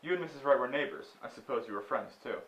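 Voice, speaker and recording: A man's voice: medium pitch, expressive, fast, a bit bright, natural voice. A man acting in a stage play as a condescending county attorney, questioning people in a smug, probing way. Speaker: stage actor. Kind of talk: amateur stage play dialogue. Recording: dull, muffled stage recording.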